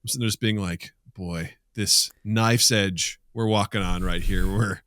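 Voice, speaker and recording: A man's voice: lowish voice, fairly even intonation, unhurried, a bit bright, dry recording. A middle-aged man co-hosting a casual podcast, venting with swearing and acting out imagined exchanges. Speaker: podcast co-host.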